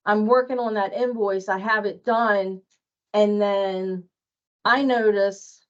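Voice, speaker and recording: Lady walking staff thru office procedures, takes it slow, plain delivery, muffled meeting sound. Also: mid-range voice. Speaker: billing administrator giving training.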